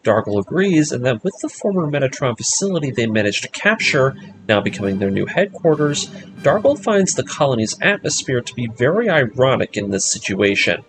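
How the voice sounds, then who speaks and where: Animated man narrating a story recap. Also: mid-range voice, a little fast, noisy background. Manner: expressive, emphatic fan delivery. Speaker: host of an anime story breakdown series. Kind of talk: enthusiastic scripted story recap narration with asides. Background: background music.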